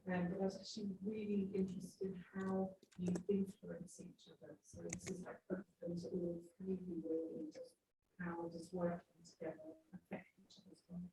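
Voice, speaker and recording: A woman in the audience, soft-spoken, asking the panel a question. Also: on the low side, brisk, pauses often, breathy edge. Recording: echoey room.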